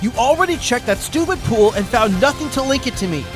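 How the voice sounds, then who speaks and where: A man's voice: very high voice, animated, fairly quick, over music, voice pushed up. A man voicing a frantic, over-caffeinated male sloth who stutters through hysterical denials in a fan story reading. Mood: defiant.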